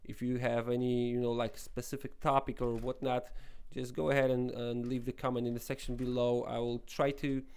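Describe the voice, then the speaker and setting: Polish guy rambling thru a coding tutorial, lots of uh and restarts, smooth mellow voice. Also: medium pitch, little pitch movement, brisk, few pauses. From Poland. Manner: rambling, many restarts and fillers. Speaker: web development tutorial host. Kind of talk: casual screen-recorded tutorial talk.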